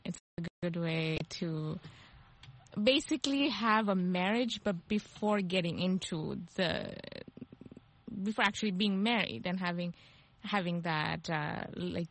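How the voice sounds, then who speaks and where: Earnest woman, low-pitched, hesitant. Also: low-quality recording. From Bangladesh. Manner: earnest, hesitant with many ums. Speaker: panel guest. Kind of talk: casual opinion on a panel.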